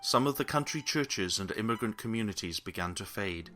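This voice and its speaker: A man's voice: lowish voice, expressive. Man narrating a heritage documentary. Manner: measured, solemn delivery. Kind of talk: scripted narration. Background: guitar music.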